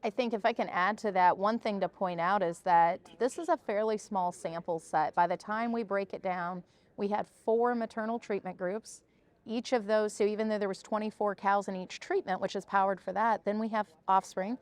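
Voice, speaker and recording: A woman's voice: mid-range voice, steady tone, moderate pace. A woman research scientist speaking as a podcast guest, explaining research in a discussion, often checking with "right?".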